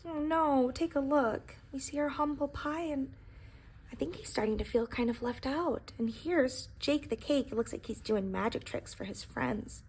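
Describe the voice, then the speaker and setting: A woman's voice: high voice, normal speed, darkish tone, slight background noise. A woman teacher reading a picture book aloud to young children, expressively, with explanatory asides addressed straight to her listeners.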